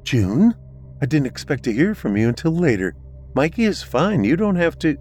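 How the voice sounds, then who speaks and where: A man's voice: big pitch swings, moderate pace, darkish tone, breathy edge, soft background music. A man voicing a worried mother and grandmother who speaks urgently in a raised voice. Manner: anxious, urgent, pitched up.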